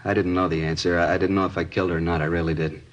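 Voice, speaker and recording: A man's voice: sits low, flat delivery, very fast, highs cut off, natural voice. An actor playing an anxious, hesitant American married man under police questioning in a 1950s radio crime drama. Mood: shaken, relieved. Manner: hesitant, rambling, stammering pleas.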